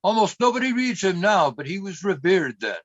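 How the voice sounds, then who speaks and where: A man's voice: high-pitched, big pitch swings, a little fast, dry recording, dull audio. A man acting as the narrator of a radio play, telling a life story in a wry way. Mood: wry storytelling. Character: wry male narrator looking back on a woman's life.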